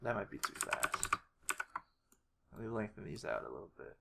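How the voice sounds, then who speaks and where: Someone giving an informal editing walkthrough, talking haltingly and muttering to themselves while working. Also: mid-range voice, sing-song, vocal fry. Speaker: tutorial creator. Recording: noisy home recording, keyboard and clicks. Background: mouse clicks and keyboard typing.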